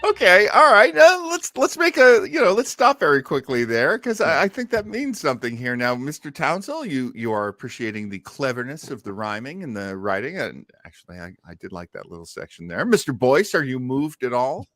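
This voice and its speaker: A man's voice: mid-range voice, sing-song, a little fast, few pauses, bright, forceful tone, no echo. A man hosting a podcast with conversational commentary. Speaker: podcast host.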